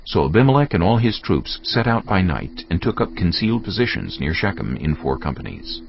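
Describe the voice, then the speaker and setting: A man's voice: low voice, very animated, a little fast, creaky, a little hiss or hum. A man narrating a dramatised audio Bible in a solemn storytelling manner. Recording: muffled, band-limited audio with music. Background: music bed.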